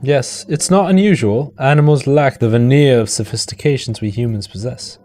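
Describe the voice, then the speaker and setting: A man's voice: mid-range voice, moderate pace, darkish tone, a little hiss or hum, lightly acted. Man playing an earnest, pedantic psychic ghost investigator. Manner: formal, correcting. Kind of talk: radio play acting.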